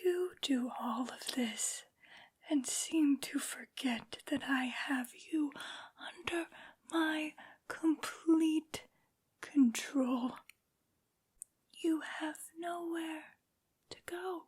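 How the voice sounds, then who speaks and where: A woman voicing a tall, haughty, menacing female vampire noble in a breathy, mostly whispered ASMR roleplay, imperious and scolding. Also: high-pitched. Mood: menacing, gloating. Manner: imperious, slow, drawn-out scolding. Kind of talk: soft-spoken ASMR roleplay.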